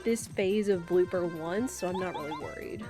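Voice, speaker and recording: A woman's voice: on the low side, normal speed. Congested, chatty young woman streaming a game. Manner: chatty, rambling, with fillers. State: congested, nasal from a cold. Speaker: gaming streamer. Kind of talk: casual live-stream commentary. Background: game music.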